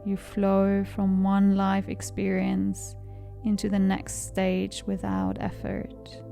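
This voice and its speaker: Slow, creaky-voiced woman guiding meditation. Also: mid-range voice. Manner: calm, soothing, measured delivery. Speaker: meditation guide. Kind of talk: guided meditation narration. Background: background music.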